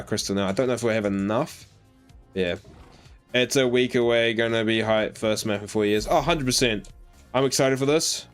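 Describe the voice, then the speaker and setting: An Australian man talks loosely through a live game stream, trailing off and restarting as he responds to viewers. His voice sits at an everyday middle pitch, with soft game music playing in the background. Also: clean tone. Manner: rambling, filler-heavy, reading and answering chat. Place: home in New Zealand.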